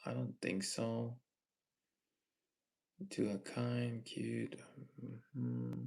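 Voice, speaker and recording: A young man from Malaysia hosting a casual livestream, reading viewer comments and giving earnest advice in a slow, halting way. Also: mid-range voice, little pitch movement, creaky, darkish tone. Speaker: livestream host.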